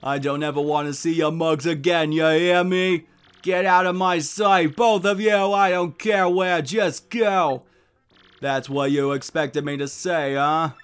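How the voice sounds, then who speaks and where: A man voicing a gruff, bossy mob boss and sentimental father in a cartoonish game, talking in blustering wiseguy slang. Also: on the high side, fairly even intonation, a little slow, faint music behind, close to an everyday voice. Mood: angry, disappointed.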